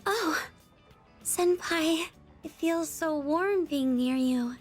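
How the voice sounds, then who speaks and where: Love-struck teen game heroine; tiny high voice, hesitant, clingy, faint music behind. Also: female voice, slow pace. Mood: clingy, longing. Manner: hesitant, pleading, soft-hearted. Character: shy, clingy, love-struck teenage schoolgirl. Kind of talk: video game voice acting.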